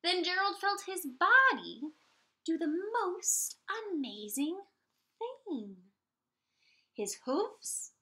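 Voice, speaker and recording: A woman preschool teacher reading a picture book aloud in an expressive, encouraging way, pausing to explain things simply and ask young children questions. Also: high-pitched.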